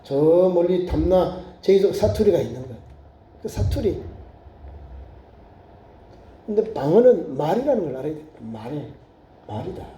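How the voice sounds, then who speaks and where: A man preaching a sermon as pastor, acting out crowd voices in lively storytelling. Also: a little high, normal speed, long pauses. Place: church sanctuary. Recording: echoey church room.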